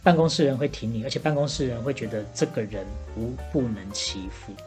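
A woman's voice: extremely low pitch, fairly quick, darkish tone, faint music behind. A woman with a Taiwanese accent hosting a casual chat and giving practical advice. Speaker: podcast host playing bartender and advisor.

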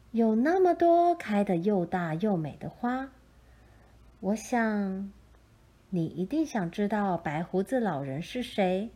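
A woman storyteller reads a children's tale from a script. Her voice is mellow and mid-pitched. She takes her time, keeping a gentle, measured delivery throughout. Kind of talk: scripted children's picture-book narration.

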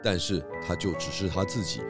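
A man playing a calm, shrewd, kindly pig detective in a children's audio drama, measured and gently probing. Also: low-pitched, music in the background, natural voice. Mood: gently admonishing.

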